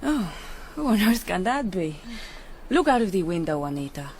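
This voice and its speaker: A woman's voice: mid-range voice, sing-song, normal speed, background noise. An actress playing an anxious, proper married Spanish woman, an older sister, fretful and emotional, in a radio historical drama. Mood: chiding, flustered.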